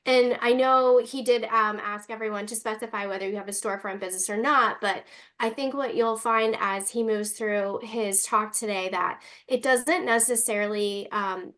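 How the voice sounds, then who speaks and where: A woman's voice: on the high side, fairly even intonation, moderate pace, a bit bright. A woman hosting a webinar on a video call, chatty and loose as she introduces the guest speaker. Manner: chatty, many ums and likes. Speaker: webinar host.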